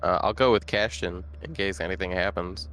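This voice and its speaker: A man's voice: deep voice, fairly even intonation, brisk, a bit bright, slight background noise, poor audio. A man talking casually as a player in a tabletop roleplaying game.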